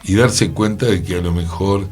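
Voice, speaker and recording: A man's voice: deep voice, normal speed, a little mellow, slightly rough, soft background music. A man with a Rioplatense Argentine accent co-hosting radio talk, conversational and agreeable, repeating short affirmations. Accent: Argentine Rioplatense Spanish. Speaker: radio show co-host.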